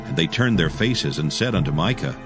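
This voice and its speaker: A man narrating scripture from a script as a solemn, measured storyteller. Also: sits low, lively intonation, music in the background.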